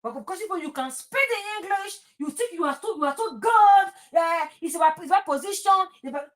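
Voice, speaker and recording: A woman's voice: extremely high pitch, lively intonation, brisk. A woman with a Nigerian accent talking informally and emphatically about her opinions, repeating phrases and slipping into Pidgin. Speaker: talk show host. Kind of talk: impassioned informal political talk.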